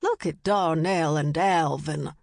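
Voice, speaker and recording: A woman's voice: deep voice, gravelly, a little mellow, no room sound, studio quality, exaggerated voice. A woman voicing a bossy, condescending small-town woman in an audiobook. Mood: bossy, insistent. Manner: slow, drawn-out, condescending.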